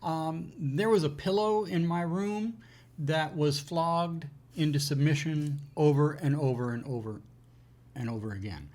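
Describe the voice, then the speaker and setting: Man with a halting, measured delivery. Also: fairly high pitch, darkish tone. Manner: halting, measured, pausing mid-sentence. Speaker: co-host of an educational show. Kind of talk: conversational advice and personal anecdotes.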